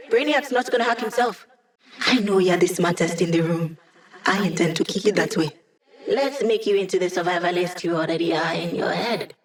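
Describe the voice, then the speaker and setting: A woman's voice: medium pitch, close to an everyday voice. An actress voicing a snarky, upbeat young female hacker who gives tech support in a video game, with playful, wry, casual quips. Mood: brisk, alert. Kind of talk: video game character voice lines.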